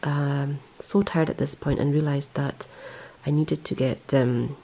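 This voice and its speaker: Woman, low dark gravelly voice, rambling self-correcting show-and-tell. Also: fairly even intonation, unhurried. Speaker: knitting vlogger. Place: indoors, close-up on hands and yarn.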